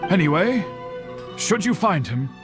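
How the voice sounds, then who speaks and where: An actor playing a courteous, earnest swordsmith with a formal, measured delivery in a video game. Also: male voice, sits high, big pitch swings. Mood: earnest, defensive. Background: background music.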